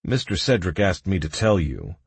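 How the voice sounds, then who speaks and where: A man's voice: sits low, sing-song, moderate pace, creaky, slightly rough, no echo, exaggerated voice. A man voicing a cheerful, obliging guard in a fantasy audiobook. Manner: happy, obliging. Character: cheerful, chatty male guard delivering a taunting message.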